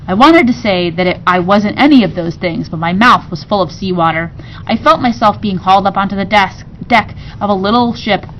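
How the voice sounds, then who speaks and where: A woman's voice: medium pitch, fairly quick, a bit bright, dull audio. Woman reading a storybook, noisy recording. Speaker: teacher reading aloud. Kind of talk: expressive children's storybook reading.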